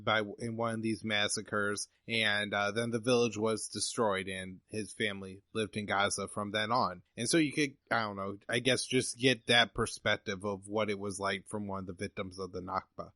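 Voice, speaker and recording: A man hosting a podcast interview, talking casually in a halting, tentative way. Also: on the low side, level tone, pushed and bright, no echo, clean studio sound.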